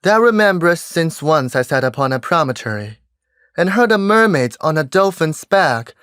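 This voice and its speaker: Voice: medium pitch, big pitch swings, normal speed, a bit bright, smooth, no room sound, clean studio sound. An actor playing a lofty, commanding fairy monarch who tells tales in a theatrical stage-verse manner. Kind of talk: Shakespearean verse stage acting.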